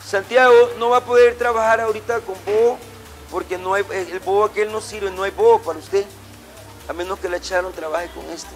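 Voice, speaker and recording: A man's voice: sits high, fairly even intonation, a little fast, soft background music. A middle-aged man, a martial arts instructor giving commanding, short, repeated orders and corrections to a class in a gym. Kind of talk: calling out drills and corrections in a class. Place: indoor martial arts gym with matted floor.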